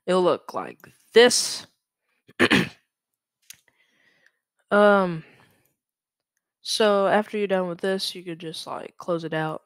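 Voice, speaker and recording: A young man talks through a software tutorial at a slow pace. His delivery is informal and halting, as he thinks aloud, and the sound is dry and close, with no room echo. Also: on the high side, creaky. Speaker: tutorial presenter. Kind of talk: casual screen-recorded software tutorial.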